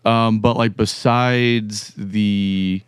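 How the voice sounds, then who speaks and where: A man with a low, even voice thinks aloud in halting, reflective chat. His voice comes through very clear and crisp. The sound is dry, with no room echo. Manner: halting, reflective, many restarts. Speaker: podcast host, musician. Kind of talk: casual podcast chat.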